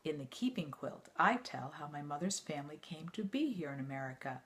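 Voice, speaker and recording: A woman reading an autobiography aloud like a teacher to students, in a measured, expressive storytelling way. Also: sits low.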